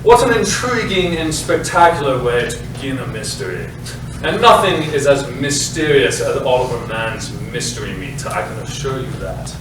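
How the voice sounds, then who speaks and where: A man's voice: medium pitch, big pitch swings, moderate pace, natural voice. Man playing a pompous playwright in very echoey room. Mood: self-important. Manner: pompous, theatrical. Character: pretentious, self-important male playwright presenting his play. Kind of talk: staged reading, mock-grand introduction to audience. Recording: very echoey hall, distant, noisy.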